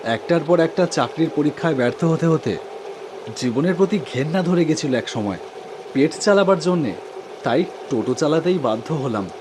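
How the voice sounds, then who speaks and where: Man acting earnest young rickshaw driver, higher-pitched voice. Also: lively intonation, moderate pace, noisy background, lightly acted. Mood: reflective. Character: humble, devoted young auto-rickshaw driver telling his story. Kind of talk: first-person cartoon horror story narration with dialogue.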